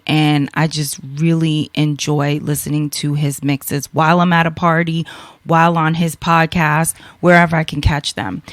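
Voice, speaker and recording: A woman from the United States hosting a casual podcast interview, excitable and gushing, with many fillers. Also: deep voice, dry recording. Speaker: podcast host.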